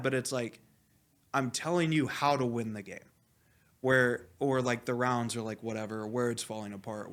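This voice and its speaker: A man speaking as a coach in a casual interview, animated and blunt, rambling with lots of "like" and some swearing. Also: mid-range voice. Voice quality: loud voice. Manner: animated, rambling, heavy use of "like". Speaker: esports coach being interviewed. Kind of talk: casual, candid interview talk.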